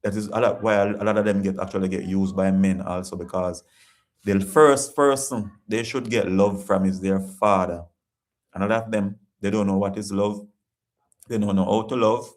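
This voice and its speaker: A man's voice: fairly low pitch, fairly even intonation, normal speed. A Jamaican man hosting a casual live-stream chat, reading viewer comments and talking in an earnest, repetitive, preachy way.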